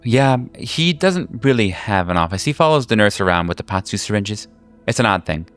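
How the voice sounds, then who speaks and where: A man's voice: lowish voice, big pitch swings, brisk, a bit bright, soft background music. A man voicing a flighty, insistent woman patient who rambles and hesitates in a tabletop horror game. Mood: eager, rambling. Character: flighty, snippy woman patient craving a prescription.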